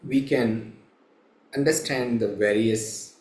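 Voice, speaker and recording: A man guiding a meditation as a teacher, speaking in measured, halting short phrases with long pauses between them. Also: mid-range voice, darkish tone. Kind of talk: slow guided meditation instruction. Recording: roomy, echoing room sound.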